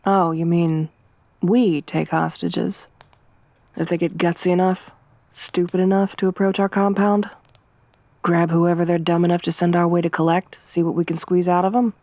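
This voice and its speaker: A woman voicing a tough, wry young survivor woman in a soft-spoken ASMR roleplay, with a dry, teasing, gruff manner. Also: fairly low pitch, flat delivery, moderate pace, no echo, lightly acted. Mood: low-key, wry.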